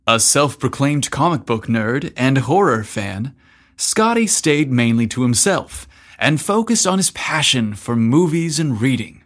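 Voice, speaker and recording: A man hosting a story podcast, narrating from a script in a deliberate, emphatic way. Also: medium pitch.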